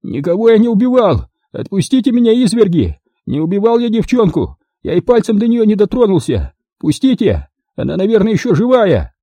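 A man acting a cowardly, indignant villain in an audiobook, yelling and whining hysterically. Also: high-pitched, sing-song, brisk, few pauses, breathy edge, no echo, pitched above the performer's own voice, heavily acted. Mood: panicked pleading.

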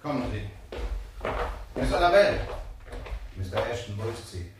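An actor playing a stern, accusing police detective in a crime radio play, recorded with echo at some distance. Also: male voice, medium pitch, big pitch swings, unhurried, noisy background. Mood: brisk, commanding. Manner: authoritative, accusing. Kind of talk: 1970s German crime radio play acting.

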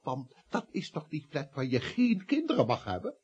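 A man's voice: fairly high pitch, huge pitch swings, moderate pace, darkish tone, slightly rough, muffled recording, full character voice. A man acting in a radio comedy as a blustering, dry, grudgingly generous office boss. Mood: gruffly amused.

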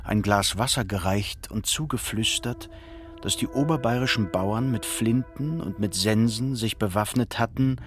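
A man narrating a literary radio drama in a measured, solemn manner. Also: lowish voice, faint music behind, natural voice.

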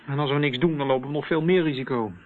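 An actor playing a harried, sceptical police officer in a Dutch radio drama, on a tinny old recording. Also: male voice, medium pitch, quick pace, close to an everyday voice. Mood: agitated, sarcastic. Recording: tinny, band-limited old radio play.

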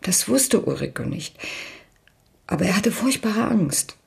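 An actress playing an anxious, loyal woman reluctantly confessing to police in a radio crime drama, defensive and husky. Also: mid-range voice, big pitch swings, unhurried, exaggerated voice. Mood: reluctant confession. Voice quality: husky, creaky. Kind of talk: German radio drama acting.

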